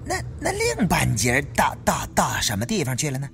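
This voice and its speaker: A man's voice: fairly high pitch, huge pitch swings, creaky, fairly bright tone, soft background music, pitched below the performer's own voice, full character voice. Guy putting on a flustered stammering treasure hunter in an audiobook, voice swings up and down. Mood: puzzled. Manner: halting, flustered. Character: stammering, jittery, curious male tomb-raiding buddy.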